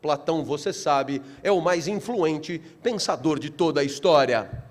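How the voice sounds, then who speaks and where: A middle-aged Brazilian man giving a philosophy lecture with theatrical flair, asking rhetorical questions and repeating phrases for emphasis. He speaks in slow, deliberate phrases that build to loud, animated peaks. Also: on the high side. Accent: Brazilian Portuguese.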